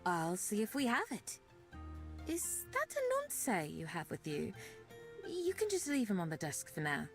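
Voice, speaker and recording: A woman's voice: mid-range voice, huge pitch swings, measured pace. A posh-mannered kindly vampire lady in a visual novel, very up and down, gracious n formal, bit of music under. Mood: hospitable. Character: genteel, kindly female vampire who keeps bats, hospitable. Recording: music faintly behind the voice.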